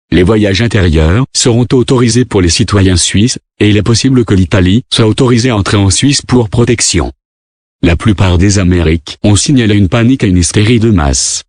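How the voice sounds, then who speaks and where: A man's voice: fairly low pitch, darkish tone, no room sound, studio quality. A man reading a scripted government emergency broadcast in a formal, impersonal way as an announcer.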